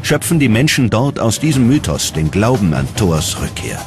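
A man's voice: lowish voice, sing-song, moderate pace, over music. A man reading scripted documentary narration in a dramatic storytelling manner.

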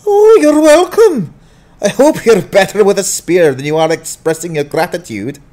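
A man voicing a brash, wry sidekick in a fantasy audiobook, speaking in an animated, urgent way. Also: high voice, normal speed. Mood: wry urging. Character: brash, cheeky male sidekick urging on a young adventurer. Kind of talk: dramatized fantasy audiobook acting.

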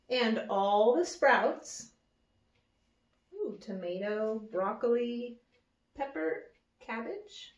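A woman in her thirties reading a children's picture book aloud like an early education teacher, with simple, deliberate phrasing and little asides. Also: on the high side. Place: indoor room with wood-paneled wall. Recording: echoey room, slightly dull sound.

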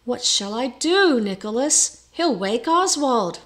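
A woman voicing an anxious young wife in a storybook, hissing urgently in a hushed manner. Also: a little high, very animated, slow, heavily acted.

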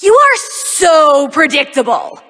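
A young magical-girl heroine from a video game, cocky and teasing. Her voice is bright and forceful, with slow, theatrical, sassy delivery. A long reverb hangs on every line. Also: high-pitched, very animated, heavily acted. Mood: cocky, mocking. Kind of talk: video game character voice lines.

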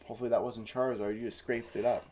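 A man in his thirties hosting a live card-opening stream indoors, talking in a low-key, dry way with mumbled asides. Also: little pitch movement, very fast, a crowd in the background. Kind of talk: casual live-stream chat. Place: indoor room full of card products.

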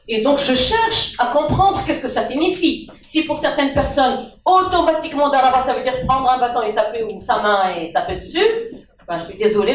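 A woman's voice: on the high side, slight background noise. A middle-aged woman speaking from the audience at a public debate, emphatic and questioning, into a handheld mic. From Morocco. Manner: emphatic, questioning, with rhetorical asides. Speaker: audience member. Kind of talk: impassioned debate contribution. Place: crowded indoor meeting room. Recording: echoey room from far off.

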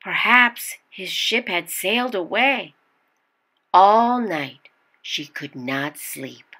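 Slow, expressive woman reading a storybook. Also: medium pitch, slightly rough. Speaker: storyteller reading a picture book aloud. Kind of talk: expressive children's read-aloud narration.

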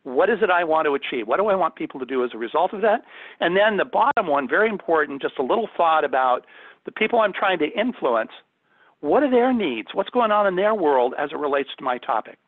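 Male corporate trainer, higher-than-average register, emphatic and conversational, heard through a tinny call connection. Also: no echo. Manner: emphatic, instructive, addresses listeners with questions. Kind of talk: conversational presentation in an online workshop. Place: indoors, on a video call. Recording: thin phone-like audio, dry.

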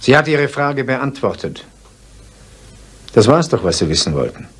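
An actor playing a guarded, defensive husband under suspicion in a 1970s German radio crime drama, courteous but evasive. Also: male voice, medium pitch, big pitch swings, measured pace, a little hiss or hum.

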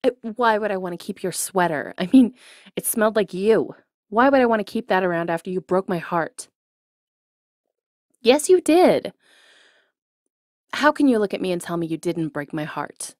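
A woman's voice: mid-range voice, animated, normal speed, long pauses, a little mellow, no room sound, studio quality, lightly acted. A young woman playing a jealous, teasing college ex-girlfriend in an intimate ASMR roleplay, speaking expressively straight to the listener. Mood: indignant, defensive. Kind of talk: ASMR roleplay acting, intimate one-sided dialogue.

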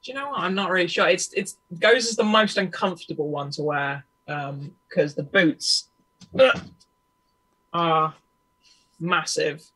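Husky woman on a video call. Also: lowish voice, unhurried, fairly bright tone, poor audio. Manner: easygoing, many fillers, self-conscious laughs. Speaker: guest cosplayer. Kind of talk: casual interview chat. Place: home room. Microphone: webcam mic on video call.

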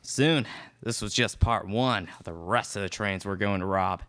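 A man's voice: fairly low pitch, normal speed, fairly bright tone, natural voice. A young man playing a cocky, menacing outlaw leader in a live student radio play. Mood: sly, menacing. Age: young adult. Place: classroom studio, indoors. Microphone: stand microphone. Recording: noisy live room recording with clicks.

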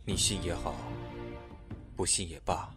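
An actor playing a solemn, sorrowful man in a fantasy audio drama, speaking in a grave, measured way. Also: low voice, fairly even intonation, a bit bright, faint music behind. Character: solemn, sorrowful man bearing tragic news.

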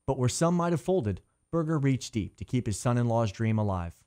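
A man's voice: mid-range voice, expressive, moderate pace, warm tone, clean tone. A man giving scripted narration for a feature about a local cafe.

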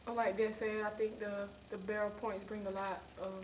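A young woman college player answers in a flat, even voice, shy and hesitant with plenty of "um"s. She sounds set back from the mic, with clear room noise around her. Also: medium pitch, moderate pace, recorded from a distance, poor audio. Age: young adult.

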